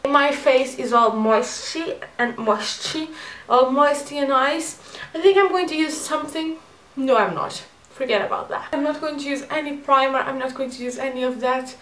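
A woman's voice: sits high, fairly bright tone, roomy sound, recorded from a distance. A woman in her twenties with a Portuguese accent, chatting in a rambling, self-mocking way while doing her makeup in her bedroom. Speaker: beauty vlogger. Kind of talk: chatty get-ready-with-me vlog. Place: bedroom at home.

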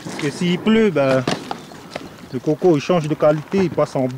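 A man's voice: a little high, moderate pace. A man, talking easily in an interview, full of fillers. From Austral Islands, French Polynesia. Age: 30s. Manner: easygoing, filler-heavy speech. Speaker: copra farmer interviewee. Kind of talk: relaxed interview. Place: outdoors under palm trees. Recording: outdoor noise behind voice.

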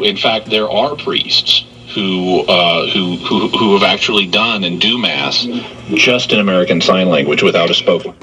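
Low husky guy on am radio, halting, repeats himself, really harsh sound. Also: bright, forceful tone, poor audio. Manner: hesitant, repeats words.

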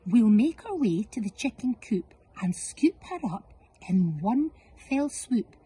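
Woman voicing storybook thieves, male, greedy, gruff, breathy. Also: fairly high pitch, very animated, slow pace, dark tone, heavily acted. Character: two scheming male thieves, boastful and crude.

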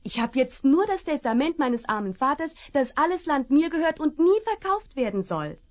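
An anxious rancher's daughter. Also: female voice, high-pitched, sing-song, moderate pace. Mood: pleading. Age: young adult. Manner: pleading, anxious, tender. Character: young female rancher's daughter, frightened but kind. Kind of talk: 1970s German radio play acting.

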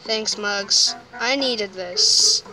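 A woman's voice: mid-range voice, extremely slow, bright, forceful tone, faint music behind, rough recording. A woman performing an amateur comic dub, acting out cartoon characters.

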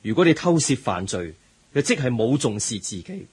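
Radio play: a kindly, earnest teacher, Hong Kong Cantonese, husky voice with animated lilt. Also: male voice, mid-range voice, moderate pace. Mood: lecturing. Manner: lecturing, earnest. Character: patient middle-aged teacher, moralizing and kind.

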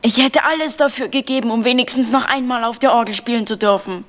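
Eine Schauspielerin, die in einem Krimihörspiel ein wehmütiges, sehnsüchtiges Kind spielt. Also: female voice, a little high, quick pace, fairly bright tone, in a large hall, natural voice. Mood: wistful. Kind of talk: vintage German radio crime drama acting.

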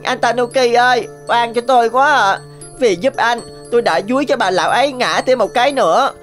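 A woman's voice: on the high side, fairly quick, lightly acted. A woman voicing a sweetly deceitful, vengeful young rabbit in a cartoon. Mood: pleading. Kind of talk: cartoon voice acting. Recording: faint music behind.

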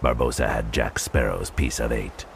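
A man's voice: a bass voice, moderate pace, vocal fry, slight background noise. A man narrating a dramatic scripted adventure story as an audio drama narrator. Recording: loud music under the voice. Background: loud dramatic music.

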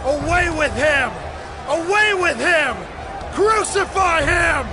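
Bloodthirsty mob heckler, really high drawn out yells, crowd and music, bible drama. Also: male voice, expressive, takes it slowly, heavy background noise, exaggerated voice. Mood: enraged. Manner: yelling, mocking. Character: jeering, bloodthirsty man in a mob. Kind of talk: dramatized scripture audio acting. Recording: distant shouts amid crowd and music.

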